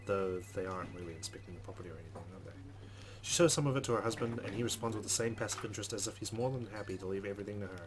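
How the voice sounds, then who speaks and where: A man with a fairly low voice reads story narration from a game at a rapid clip. He hosts a let's play and breaks off for casual remarks of his own. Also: a crowd in the background. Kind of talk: reading a visual novel aloud with asides.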